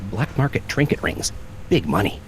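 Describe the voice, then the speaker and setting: An actor playing a shady, guarded small-time smuggler who talks tersely and evasively in a video game. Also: male voice, mid-range voice, lively intonation, moderate pace, creaky, a little hiss or hum, poor audio. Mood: guarded, secretive. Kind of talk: cartoonish video game dialogue.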